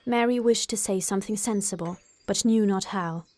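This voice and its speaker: A woman's voice: mid-range voice, normal speed, darkish tone, no room sound. A woman reading scripted literary narration as an audiobook narrator.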